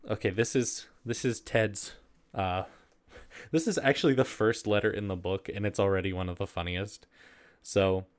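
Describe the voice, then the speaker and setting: A man casually hosting a video and reading humorous letters aloud, easily amused and prone to giggling. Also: on the low side. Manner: giggly, often breaking into laughter while reading.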